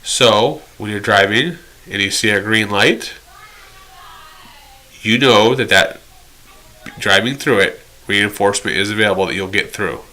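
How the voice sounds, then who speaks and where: A man's voice: fairly low pitch, measured pace, pauses often, bright, forceful tone, low-quality recording. A man giving an explanatory training lecture as an instructor, direct and instructional with conversational asides. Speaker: instructor in behavior therapy training.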